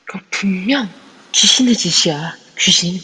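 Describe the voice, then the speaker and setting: A woman voicing a timid young female reporter who is easily frightened, speaking in a whimpering, drawn-out way. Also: mid-range voice, lively intonation, sharp tone, breathy edge, exaggerated voice. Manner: whimpering, drawn-out fearful speech. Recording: long reverb on a voice chat app.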